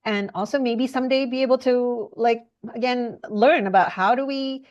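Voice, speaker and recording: A woman from Indonesia, speaking earnestly as a podcast guest, often pausing and restarting her sentences. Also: fairly high pitch, darkish tone, highs cut off. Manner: earnest, halting, with frequent fillers and restarts. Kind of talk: conversational interview.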